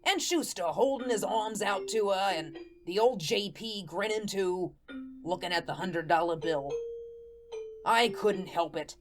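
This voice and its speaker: A woman's voice: mid-range voice, measured pace, over music, lightly acted. A woman playing a naive, lovelorn male ghost who narrates his story in folksy, slangy talk, in the style of a radio play. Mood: wistful, earnest. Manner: folksy, colloquial, guileless storytelling.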